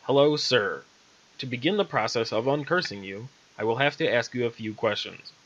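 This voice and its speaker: Talking raven assistant, dry, formal and businesslike, bright voice acted by a man, storybook. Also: mid-range voice, expressive, normal speed, muffled recording.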